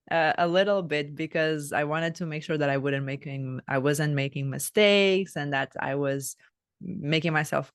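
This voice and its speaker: Low-voiced Portuguese woman hosting. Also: clear, resonant tone, no room sound, studio quality. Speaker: podcast host. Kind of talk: reflective conversational interviewing.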